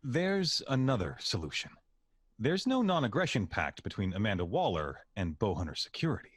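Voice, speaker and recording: A man playing a wry, confident character in a superhero audio drama, with a husky voice. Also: on the low side, sing-song, normal speed, no room sound, studio quality. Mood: assured. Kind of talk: amateur audio drama acting.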